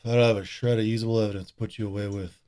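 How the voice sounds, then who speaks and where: An actor playing a weary, bitter police detective in a gritty crime audio drama, with a deliberate, brooding delivery. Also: male voice, lowish voice, flat delivery, warm tone, clear, resonant tone, natural voice. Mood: bitter, resigned. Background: music.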